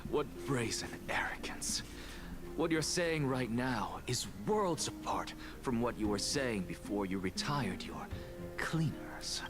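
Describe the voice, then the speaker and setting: An actor playing a haughty, blunt, self-assured scholar lord in a fantasy game, with an imperious, formal manner. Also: male voice, medium pitch, lively intonation, slow. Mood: disdainful. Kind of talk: scripted fantasy game dialogue. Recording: game dialogue under background music.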